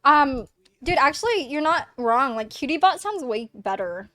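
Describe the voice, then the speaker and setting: A woman's voice: high voice, very animated, normal speed, fairly bright tone, no room sound. A woman hosting a livestream, chatting casually with a guest in a crude, giggly, teasing way. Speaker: streamer host.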